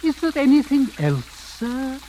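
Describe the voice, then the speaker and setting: Casting: blackmailing servant, deferential and precise with a swooping melody, vintage radio drama, slightly noisy recording. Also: male voice, very high voice, very animated, heavily acted. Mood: slyly insinuating. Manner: formal, obsequious, measured hesitations. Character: deferential, sly blackmailing manservant, coolly polite. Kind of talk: 1940s radio acting.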